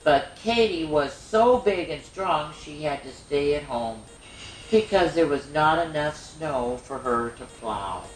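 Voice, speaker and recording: A woman hosting a children's story time, reading picture books aloud in an animated way with chatty, exclaiming asides. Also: low-pitched, slow pace, slight background noise. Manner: animated, repeats phrases for emphasis, exclaims. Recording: roomy, echoing room sound.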